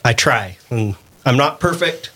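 A man's voice: mid-range voice, expressive, a bit bright. A man who grew up on a ranch, talking modestly and with dry humor as a radio studio guest. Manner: modest, wry, unhurried.

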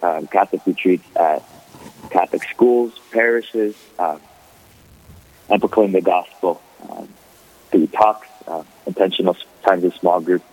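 A young man from the Philippines calls in over a narrow, tinny phone line. His voice is very deep and a little husky, and he talks in a relaxed way, full of ums and small laughs. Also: measured pace. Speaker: guest, diocesan youth associate. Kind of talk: phone-in interview.

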